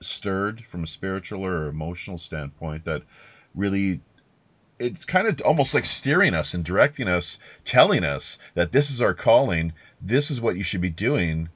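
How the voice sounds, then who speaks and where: A man hosting a podcast interview, talking casually in a wordy, halting, roundabout way. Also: deep voice, expressive, a bit bright, slightly rough, no echo. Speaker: podcast host interviewing a guest. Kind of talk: casual rambling interview talk. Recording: slightly dull, muffled lo-fi audio.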